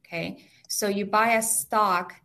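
A woman's voice: medium pitch, fairly even intonation, a little slow, sharp tone. Woman with a heavy Mexican accent. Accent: Mexican Spanish. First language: Spanish. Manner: patient, explains step by step with examples. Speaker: financial advisor guest. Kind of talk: explanatory interview answers.

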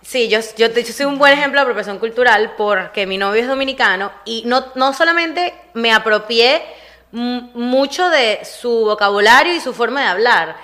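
A Venezuelan woman talking casually among friends, stumbling over and restarting her sentences. Her voice is bright and sits somewhat high. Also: lively intonation, normal speed. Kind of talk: casual group chat.

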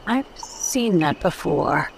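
Low-voiced, no-nonsense adventurer. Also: female voice, lively intonation, takes it slowly, creaky, slight background noise, full character voice, poor audio. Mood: guarded. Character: no-nonsense, world-weary female adventurer in detective mystery. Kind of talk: old-time radio drama acting.

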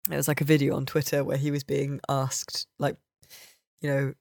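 A woman's voice: rumbling low, flat delivery, normal speed, vocal fry, a little mellow, no echo, studio quality. A woman hosting a podcast in casual, informal, discursive chat. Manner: informal, discursive, peppered with "like" and "you know". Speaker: podcast host.

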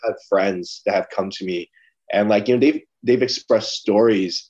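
A man's voice: fairly low pitch, normal speed, husky. Laid-back young man, lo-fi sound. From Los Angeles, California. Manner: relaxed, rambling, many fillers like "you know". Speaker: guest, lawyer. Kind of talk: casual interview chat. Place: his office. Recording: dull lo-fi sound.

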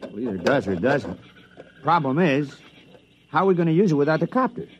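An actor playing a plainspoken, practical and wary stranded adventurer with a folksy, questioning manner in an old-time radio drama. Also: male voice, fairly high pitch, big pitch swings, fairly quick. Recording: muffled, noisy vintage radio recording.

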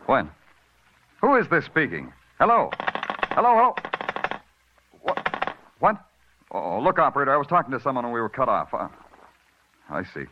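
An actor playing a hard-boiled police detective who narrates in a dry, wisecracking way in an old radio drama. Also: male voice, medium pitch, huge pitch swings, normal speed, long pauses, noisy background. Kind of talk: 1940s radio acting. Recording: dull old radio recording with music.

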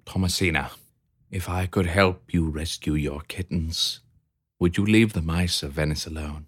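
A man voicing a brave male hamster who speaks in an earnest, calm and firm way. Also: low-pitched, takes it slowly, heavily acted.

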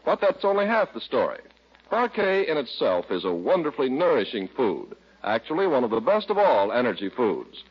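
A man announcing on 1940s radio, reading sponsor copy and scene narration with a polished, emphatic salesman delivery and husky voice. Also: medium pitch, very animated, normal speed. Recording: dull vintage radio recording.

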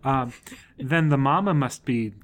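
A man's voice: medium pitch, unhurried. A man playing in a tabletop audio drama, talking casually and improvising in character. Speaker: player on tabletop show. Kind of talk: casual improvised role-play.

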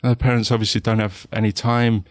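Hesitant soft-spoken southern English man. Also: lowish voice, level tone, fast talker, no echo, clean studio sound. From England. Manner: hesitant, many restarts and you-knows. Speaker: guest. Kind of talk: reflective interview talk.

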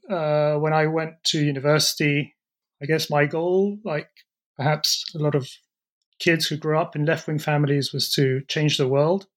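A middle-aged man talks about his path into history during an interview. His fairly high voice carries a reflective, measured manner, with small pauses as he works through his thoughts. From born in Peru, raised in France and the UK. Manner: thoughtful, reflective, slightly hesitant phrasing. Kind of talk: conversational interview answers.

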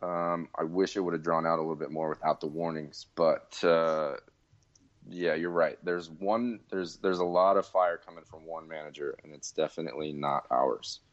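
A man's voice: rumbling low, fairly even intonation, normal speed. A man co-hosting a casual sports podcast, talking in a rueful, self-deprecating way. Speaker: podcast co-host. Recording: dull lo-fi sound.

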